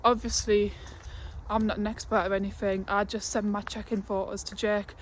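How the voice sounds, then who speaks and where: A woman's voice: medium pitch, flat delivery, normal speed, a little hiss or hum. A twenty-year-old woman, chatting casually in a fitness vlog with fillers and laughs, a little breathless from walking uphill. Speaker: fitness vlogger. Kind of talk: casual vlog talk to camera. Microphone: phone held at arm's length.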